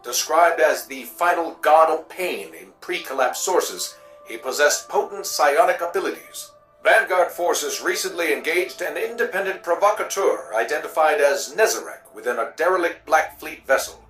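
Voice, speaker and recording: A man playing a formal, solemn reporter reading a scripted sci-fi news bulletin in a video game with measured announcing. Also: a little high, lively intonation, a bit bright, reverberant, distant mic, faint music behind, low-quality recording.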